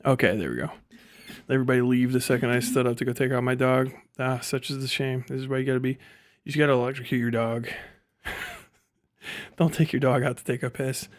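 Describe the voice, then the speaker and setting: A man's voice: medium pitch, steady tone, brisk, long pauses, dry recording, clean studio sound. Irreverent man chatting on a livestream. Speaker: live streamer reacting to a video. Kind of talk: casual off-the-cuff livestream commentary.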